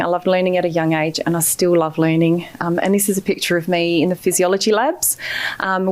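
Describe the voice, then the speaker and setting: Brief: middle-aged Australian woman, low voice, earnest acceptance speech at a podium mic, halting fillers. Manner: earnest, grateful, many hesitant fillers. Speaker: award recipient giving acceptance speech. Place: ceremony hall.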